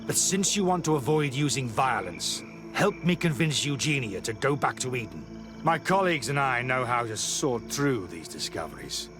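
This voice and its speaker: An actor voicing a fanatical, fearful, self-righteous priest in a video game, with a haughty, agitated and theatrical delivery. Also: male voice, mid-range voice, lightly acted. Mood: persuasive, calculating. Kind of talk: dramatic video game acting. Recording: background music behind the voice.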